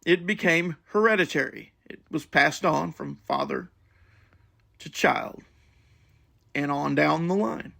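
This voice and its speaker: Guy hosting a podcast, highish creaky voice, earnest explainer reading his script. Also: a bit bright. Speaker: podcast host. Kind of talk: scripted podcast monologue with religious message.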